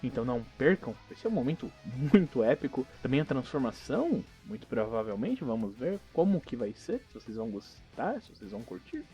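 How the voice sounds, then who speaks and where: A man's voice: medium pitch, big pitch swings, a little fast, few pauses, mellow, faint music behind. A man with a Brazilian accent, casually narrating and hosting a tabletop role-playing podcast as game master.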